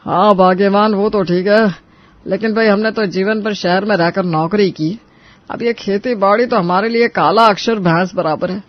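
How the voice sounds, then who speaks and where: A man acts out a stubborn older father-in-law in a family cartoon tale. He is high-voiced and emphatic with a folksy turn of phrase, on a slightly dull track. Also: steady tone, moderate pace, natural voice. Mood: reflective. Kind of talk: Hindi moral cartoon story acting. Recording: dull, band-limited track.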